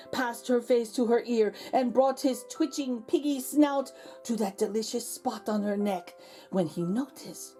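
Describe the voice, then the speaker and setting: Female children's storyteller, high and mellow, slow, theatrical delivery with deliberate pauses. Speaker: children's read-aloud host. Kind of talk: expressive storybook narration.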